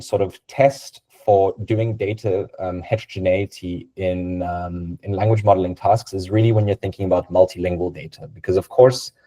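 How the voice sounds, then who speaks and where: A man's voice: fairly low pitch, mellow. A man whose first language is Serbian, giving a conversational technical talk over a video call, with many fillers and tag questions. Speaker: guest speaker.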